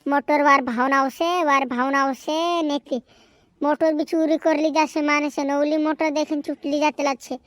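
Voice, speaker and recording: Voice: high voice, fairly even intonation, unhurried, a bit bright, dry recording, clean studio sound. A performer voicing a chatty, fretful village cartoon character with a rural western Madhya Pradesh accent, repeating phrases in comedy dialogue. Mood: complaining. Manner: repetitive, chattering.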